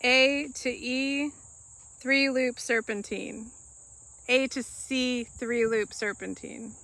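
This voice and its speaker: A woman calling out the movements of a dressage test in short, clipped commands with pauses between them. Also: a little high, steady tone, creaky, pushed and bright, dry recording.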